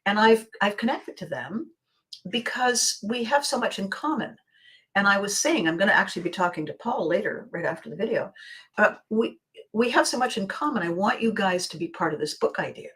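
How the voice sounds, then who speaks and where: A woman with a slightly hoarse, scratchy voice hosting a casual motivational monologue, speaking expressively and with emphasis to her viewers. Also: mid-range voice, a little fast. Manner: expressive, acting out bits of dialogue. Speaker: vlog host.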